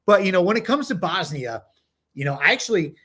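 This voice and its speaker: A man's voice: high-pitched, very animated, a little fast, pushed and bright. A man co-hosting a podcast, chatting casually with many fillers and reading from notes. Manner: rambling, many "you know" fillers. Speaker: podcast co-host. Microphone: home computer mic, no camera.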